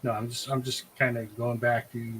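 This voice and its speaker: A man's voice: mid-range voice, flat delivery, moderate pace, fairly bright tone, low-quality recording. A man hosting an online drawing show, chatting casually as he shows artwork. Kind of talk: casual chat while showing artwork. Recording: clear background noise.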